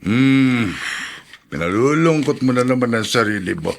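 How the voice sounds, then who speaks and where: An actor playing a kindly, understanding old father in a radio drama, homely and halting in his talk. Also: medium pitch, animated, gravelly. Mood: gently consoling. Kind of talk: Tagalog radio drama acting.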